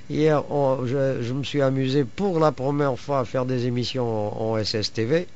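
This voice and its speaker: A man chatting casually as an amateur radio operator, halting often as he searches for words. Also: mid-range voice, fairly even intonation, a little mellow, dull audio. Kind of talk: casual chat over radio.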